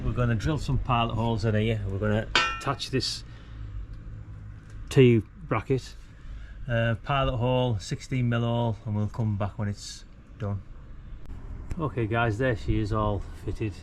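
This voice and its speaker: A man's voice: medium pitch, steady tone, normal speed. A middle-aged man chatting casually and rambling as he thinks aloud through a DIY job outdoors. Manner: rambling, laid-back, colloquial, thinking aloud. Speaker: amateur radio hobbyist filming a DIY video. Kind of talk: casual chat while demonstrating DIY. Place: outdoors beside a brick house wall. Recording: noisy outdoor recording with ambient traffic.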